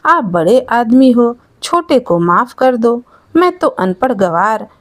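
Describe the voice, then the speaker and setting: A woman's voice: a little high, big pitch swings, slow, no room sound. A woman storyteller voicing a humble, aggrieved old peasant man with a plaintive, simple manner in a moral tale. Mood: pleading.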